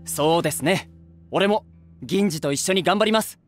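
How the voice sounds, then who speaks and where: A man's voice: sits high, moderate pace, soft background music, lightly acted. An actor playing an easygoing, respectful young male band vocalist in an anime voice drama, youthful and casually polite. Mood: admiring. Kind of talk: Japanese anime voice drama acting.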